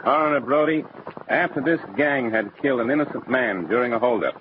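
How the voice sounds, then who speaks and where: A man's voice: mid-range voice, fairly quick. A man hosting with formal, scripted questions in 1940s radio style. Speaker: host, interviewer. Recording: muffled, noisy old radio recording.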